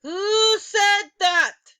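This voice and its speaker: Lady doing a bossy evil witch queen from a storybook, furious n shrill, really slow drawn-out words. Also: sharp tone, full character voice. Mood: outraged. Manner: imperious, drawn-out emphatic shrieking delivery. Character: furious tyrannical Grand High Witch, menacing and commanding. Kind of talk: dramatic storybook character reading.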